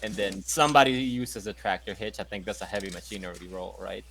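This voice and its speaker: A man's voice: fairly low pitch, fairly even intonation, fairly quick, gravelly, a bit bright, slight background noise. A man playing a tabletop game, talking casually with swearing and sarcasm. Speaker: player and ship pilot.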